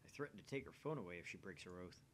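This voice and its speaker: A man's voice: expressive, very fast. A man hosting a game channel, reading visual novel narration aloud and chatting casually. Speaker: video game channel host. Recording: faint rumbly background noise.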